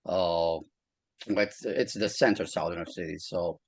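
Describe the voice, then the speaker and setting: Moroccan-accented man with a low voice. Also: steady tone, husky, no echo. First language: Arabic. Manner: halting, many fillers and restarts. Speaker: real estate agent, guest. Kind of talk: casual interview talk.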